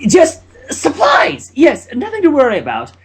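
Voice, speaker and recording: A man doing a squeaky flustered unicorn mare. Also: huge pitch swings, normal speed, slight background noise, heavily acted.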